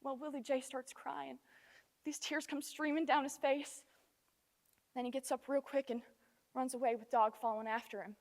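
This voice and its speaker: A teenage female student playing a distraught Southern wife, telling her story haltingly in a dramatic stage monologue with emotional swells. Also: sits high, flat delivery. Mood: shaken recollection. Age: teens. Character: distraught, hesitant Southern wife recounting a violent confrontation. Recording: echoey hall with long reverb.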